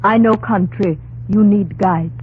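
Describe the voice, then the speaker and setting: An actress playing a calm young woman guide who speaks slow, halting broken English in an old-time radio drama. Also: medium pitch, natural voice. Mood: earnest, plain. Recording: tinny, noisy old radio recording.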